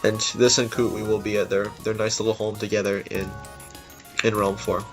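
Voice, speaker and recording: A man's voice: fairly low pitch, little pitch movement, fairly quick. Rambling casual man over music. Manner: rambling, informal. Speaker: game level creator giving developer commentary. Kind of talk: casual unscripted gameplay commentary. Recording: music behind the voice. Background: game music.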